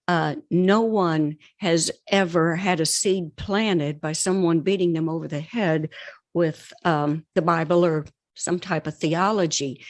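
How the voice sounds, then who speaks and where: A woman speaking as an author and mentor in an interview, earnest, deliberate and emphatic. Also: on the low side, dry recording, studio quality. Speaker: podcast guest, author and writing mentor. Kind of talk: conversational interview.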